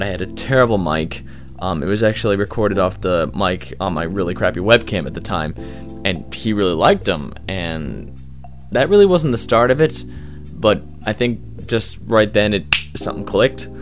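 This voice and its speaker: Young guy voice actor chatting, low-ish voice, lots of um and uh, quiet music under it. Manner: rambling, many fillers and restarts. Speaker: voice actor interviewee. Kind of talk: casual interview answers.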